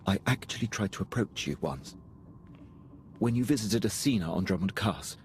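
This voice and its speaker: An actor playing a formal, deferential and anxious imperial military officer in a video game, with measured speech. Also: male voice, on the low side, lively intonation, slight background noise, close to an everyday voice. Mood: formal, regretful. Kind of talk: cinematic video game voice acting.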